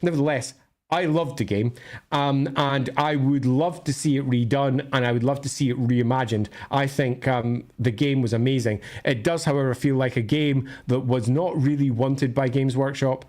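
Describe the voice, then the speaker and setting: A man with a Scottish accent and a medium-pitched voice hosts a livestream. He chats casually, thinking aloud in a discursive, opinionated manner. His phrasing is colloquial, with informal asides along the way. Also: fairly even intonation, normal speed, darkish tone. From northern Scotland. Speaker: livestream host answering viewer questions.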